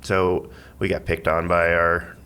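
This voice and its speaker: A man chatting casually as a podcast guest, in a halting, rambling way as he thinks aloud. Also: low-pitched, monotone, pushed and bright, smooth. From Missouri, United States. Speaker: podcast guest, amateur athlete and school IT worker. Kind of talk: casual interview chat.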